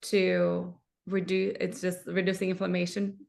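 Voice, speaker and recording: Female host, therapist; eager questioner, vocal fry throughout. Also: fairly low pitch, monotone, measured pace, darkish tone. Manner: enthusiastic, asks eager questions. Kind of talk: live interview.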